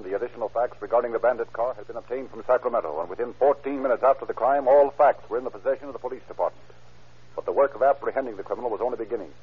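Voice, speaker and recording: A man's voice: medium pitch, husky. A man delivering dramatic, declamatory scripted narration in the formal style of 1930s radio crime shows. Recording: tinny old radio recording, music behind.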